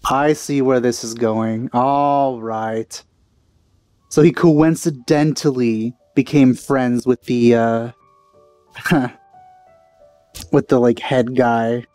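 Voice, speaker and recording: A man's voice: medium pitch, fairly even intonation, takes it slowly, pauses often, a little mellow, dry recording. A man doing casual, wry, sceptical commentary as he plays through a video game. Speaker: let's play host. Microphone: close dry mic. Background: faint game music.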